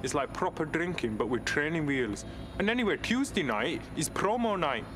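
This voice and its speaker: Breezy young student. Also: male voice, a little high, big pitch swings, normal speed. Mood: easygoing. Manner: breezy, teasing. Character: easygoing young male student, joking. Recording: game scene with ambient hum.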